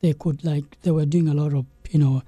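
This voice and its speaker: A young man from South Sudan, a game developer guest in an interview, telling his story in a halting way with many restarts and fillers. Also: fairly high pitch, steady tone, mellow, breathy edge, no echo. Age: young adult.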